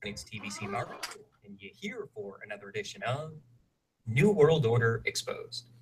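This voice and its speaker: A man's voice: mid-range voice, big pitch swings, moderate pace, slight background noise, poor audio. A man with a French Canadian accent delivering an earnest, warning monologue to camera in a played video clip. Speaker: video creator.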